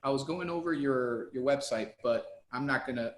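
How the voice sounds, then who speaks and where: A man's voice: medium pitch, normal speed. A man co-hosting an interview, praising his guest effusively and leaning on fillers. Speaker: podcast co-host. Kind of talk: casual interview. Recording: echoey room.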